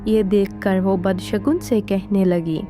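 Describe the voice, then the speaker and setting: A woman's voice: medium pitch, fairly even intonation, slow pace. A woman narrating a scripted moral tale as a storyteller. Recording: faint music behind the voice.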